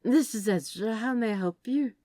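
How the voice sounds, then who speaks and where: A woman's voice: on the high side, moderate pace, dark tone, dry recording, clean studio sound, voice pushed up, natural voice. A woman voicing a flustered, prim and polite male receptionist who stammers and apologises in an audiobook-style story. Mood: sleepy, polite.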